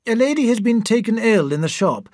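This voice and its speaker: A man's voice: high voice, big pitch swings, fairly quick, darkish tone, no echo, clean studio sound, voice pushed up. A man voicing a flustered, eager-to-please male dress shop manager in an audiobook.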